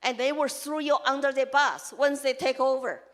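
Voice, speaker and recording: A woman's voice: high-pitched, fairly even intonation, moderate pace, a bit bright. A woman from China with a Chinese accent, giving an earnest campaign speech as a congressional candidate and listing her points in short, emphatic phrases. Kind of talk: campaign speech to a live audience.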